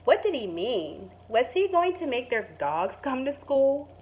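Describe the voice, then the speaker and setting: Lady teacher reading a picture book to kids, real expressive and dramatic, takes her time. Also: sits high, measured pace. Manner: dramatic, emphatic storytelling. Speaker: teacher reading to young pupils. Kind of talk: expressive children's story read-aloud.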